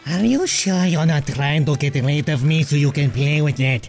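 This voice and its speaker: A man's voice: on the high side, moderate pace, creaky, loud music behind the voice. A man voicing a grumpy, suspicious, put-upon hunchbacked servant in a cartoon dub. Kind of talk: cartoon fan dub acting.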